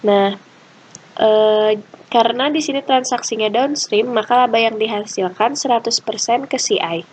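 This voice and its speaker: A woman's voice: a little high, moderate pace. Noisy recording, young woman explaining. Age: young adult. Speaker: student presenter. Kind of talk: explanatory class presentation. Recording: clear background ambient noise.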